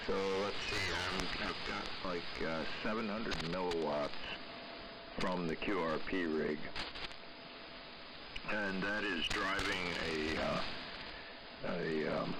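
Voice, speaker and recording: An American man chatting casually as a ham radio operator, halting and deliberate with many pauses, heard over an AM radio transmission. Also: low voice. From New York State, United States. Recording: very noisy AM shortwave radio transmission.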